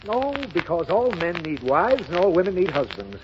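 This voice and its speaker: An old, dull and noisy 1930s radio recording features a flustered, exasperated dad. His pitch leaps around as he blusters and scolds impatiently in broad radio comedy style. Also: sing-song, normal speed. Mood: explaining, self-satisfied. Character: exasperated, blustering father of a little girl.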